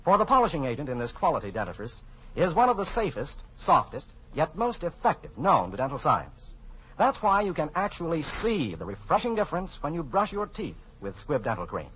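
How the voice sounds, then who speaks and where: A man's voice: on the high side, wildly swooping pitch, fairly quick, a bit bright, breathy edge. A man announcing on 1940s radio, delivering scripted copy with polished, emphatic salesmanship. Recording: muffled 1940s radio broadcast, faint music.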